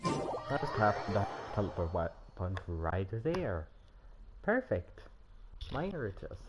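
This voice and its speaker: A man's voice: fairly low pitch, very animated, slow. A man livestreaming a game with casual commentary and wry asides to himself. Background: game music.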